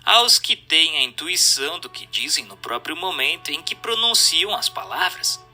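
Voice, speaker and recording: A man with a Brazilian accent narrates a written text in a formal, instructive manner. His voice is very bright and sharp, set a little high, with animated rises and falls. The delivery is that of a scripted audiobook. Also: normal speed, soft background music. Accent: Brazilian Portuguese. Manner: didactic, formal. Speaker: narrator reading a book aloud.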